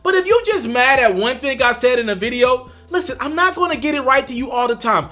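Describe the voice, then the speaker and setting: High, bright-voiced young man ranting. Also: lively intonation, brisk, faint music behind. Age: young adult. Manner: emphatic, addresses viewers directly, repeats for stress. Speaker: commentary channel host. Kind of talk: direct-to-camera commentary.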